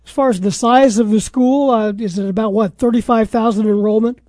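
A man's voice: high-pitched, fairly even intonation, a little fast, a little mellow, no echo. A man hosting a sports talk radio show and interviewing a guest.

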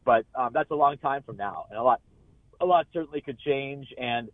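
A man giving sports analysis as a guest in a live radio interview, speaking haltingly with repeated words and frequent filler phrases. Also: mid-range voice, dry recording. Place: remote call into a radio show. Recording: muffled, band-limited call-in line.

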